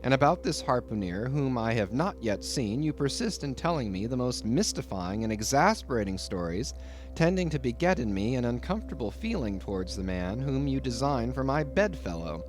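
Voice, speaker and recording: A man's voice: medium pitch, very animated, moderate pace, faint music behind, lightly acted. A man voicing an indignant, wordy lodger who speaks in an emphatic, pompous way in an audiobook. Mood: stern, exasperated. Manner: pompous, emphatic, formal phrasing. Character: indignant, wordy young male lodger, excitable. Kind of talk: audiobook character voice.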